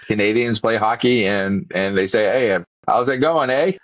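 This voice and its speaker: Low-voiced Boston man hosting a podcast. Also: moderate pace, dry recording, dull audio. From Massachusetts, USA. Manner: rambling, many restarts and repeated words. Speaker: podcast host. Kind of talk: casual interview chat.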